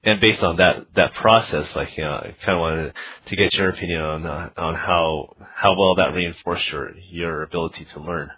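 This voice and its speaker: A man interviewing someone casually over the phone as a developer, talking in a halting way and often saying "you know". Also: low voice, fairly even intonation, bright, forceful tone, poor audio. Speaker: interviewer and developer of a learning game. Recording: phone interview with clear background noise.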